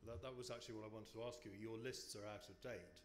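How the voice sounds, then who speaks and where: A man's voice: on the low side, fairly even intonation, fast talker, background noise. Probing male moderator. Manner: probing, with restarts. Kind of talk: interview questions.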